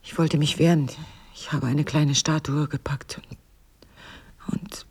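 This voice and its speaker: An actress in a naturalistic radio drama playing a guarded, weary wife who speaks in a measured, evasive, dryly sardonic way. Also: female voice, low-pitched, steady tone, darkish tone, breathy edge, full character voice. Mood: weary, bitter. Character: guarded, weary wife burdened by a painful secret.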